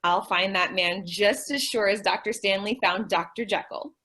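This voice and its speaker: A woman's voice: medium pitch, normal speed, bright, forceful tone. A woman playing a sweet, dim-witted young secretary in old-time radio comedy style, earnest, eager and naive. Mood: eager, pleading.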